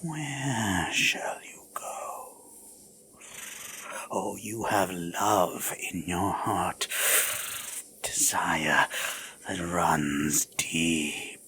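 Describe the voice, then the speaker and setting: Ancient regal serpentine demon king, low and extremely unhurried, breathy, leering menace for fantasy audio drama. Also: sharp tone, a little hiss or hum, full character voice. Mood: savoring, menacing. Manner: slow, drawn-out, breathy, menacingly teasing. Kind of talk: fantasy audio roleplay acting.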